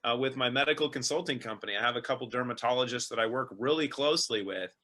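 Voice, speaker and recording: A man's voice: medium pitch, quick pace, bright, forceful tone. A male host putting questions to a guest and explaining at length in an earnest, filler-heavy way. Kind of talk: interview questions and commentary.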